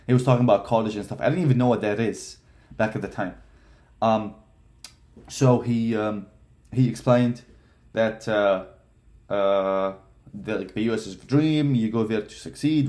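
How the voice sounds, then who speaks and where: Young man in his twenties, lowish voice, halting personal vlog talk full of ums. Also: darkish tone. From Palestine. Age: 20s. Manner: hesitant, many fillers and restarts. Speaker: vlogger. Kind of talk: casual personal storytelling.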